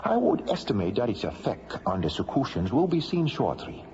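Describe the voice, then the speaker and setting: An actor playing a capable, self-assured scientist explorer, speaking technically in old-time radio drama style. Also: male voice, mid-range voice, wildly swooping pitch, moderate pace, a little mellow, slight background noise. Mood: confident, pleased. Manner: technical, confident. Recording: dull vintage radio recording.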